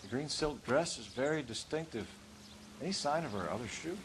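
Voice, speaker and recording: A man's voice: medium pitch, animated, moderate pace, lightly acted. Guy doing a serious determined homicide cop in a game, clipped delivery, mid voice, slight noise. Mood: businesslike. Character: earnest, determined, by-the-book young homicide detective. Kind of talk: video game cutscene acting.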